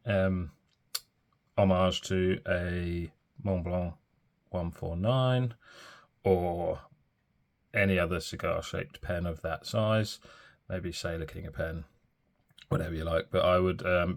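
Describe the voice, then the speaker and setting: A man reviewing a fountain pen in a chatty, rambling way, full of ums and small corrections, a little throaty and bunged up from a cold. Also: sits low. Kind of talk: casual product review.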